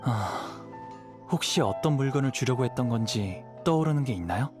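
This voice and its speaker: An actor playing a calm, polite young wandering samurai in a video game, speaking in a courteous, thoughtful way. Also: male voice, mid-range voice, moderate pace, lightly acted. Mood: composed, serious. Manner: courteous, composed, explanatory. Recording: clear background music.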